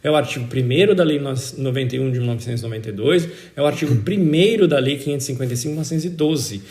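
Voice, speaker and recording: A man's voice: mid-range voice, rapid-fire, a little mellow. A man with a Brazilian accent explaining law as an interviewed expert, in an emphatic, didactic way that repeats key points for stress. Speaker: citizenship expert being interviewed. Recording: roomy, echoing sound.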